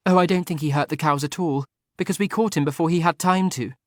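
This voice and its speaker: A man reading a lively young lady who chatters eagerly and laughs easily, in an audiobook. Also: on the high side, fast talker, dry recording, studio quality, voice pushed up, natural voice.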